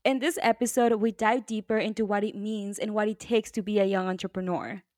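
A woman's voice: mid-range voice, steady tone, fairly quick, a little mellow. Friendly woman podcast co-host. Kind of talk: interviewing guest, partly scripted intro.

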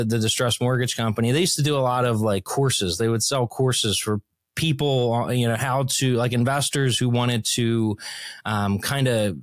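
Smooth-voiced man, easygoing podcast guest. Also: medium pitch, no echo. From Philadelphia. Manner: easygoing, rambling, frequent fillers. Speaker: guest, real estate investor. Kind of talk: casual interview talk.

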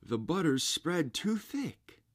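A man's voice: a little high, big pitch swings, slow, slightly rough, no echo, studio quality, voice pushed up, heavily acted. A man voicing a blunt, glum, hungry carpenter in a storybook, with a dour manner. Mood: grumbling. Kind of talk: storybook character reading.

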